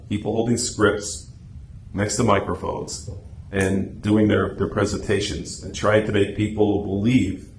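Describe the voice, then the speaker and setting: A man speaks informally to a club, explaining and telling anecdotes. His voice sits on the low side, in an echoey meeting room with faint noise behind him. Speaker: guest speaker, radio drama society officer. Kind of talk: informal club presentation and discussion.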